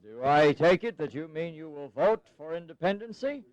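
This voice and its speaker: An actor playing an eager colonial politician in a historical audio drama, speaking formally, emphatically and persuasively. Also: male voice, a little high, expressive, moderate pace, no room sound, close to an everyday voice. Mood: eager, insistent. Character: eager, insistent colonial congressman pressing for independence.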